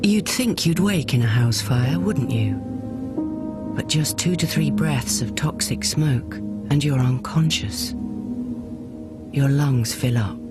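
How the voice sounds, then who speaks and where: Male narrator, slow grave scripted warning, mid-pitched, strong music bed. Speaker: public safety film narrator.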